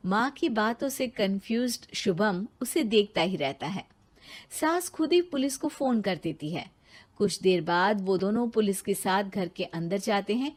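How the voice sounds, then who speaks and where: A woman's voice: medium pitch, husky. A woman reading scripted narration for a cartoon family story.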